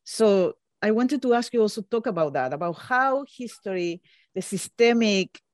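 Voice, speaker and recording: A woman's voice: mid-range voice, expressive, normal speed, no echo. A woman in her fifties from Chile with a Chilean Spanish accent, hosting an interview over a video call and searching for her words with many fillers. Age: 50s.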